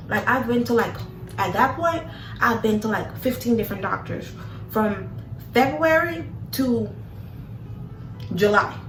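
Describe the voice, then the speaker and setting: A young woman vlogger, telling a story casually, saying "like" and "literally" often. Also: medium pitch. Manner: chatty, many "like"s and "literally"s. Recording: echoey room from a distance with background noise.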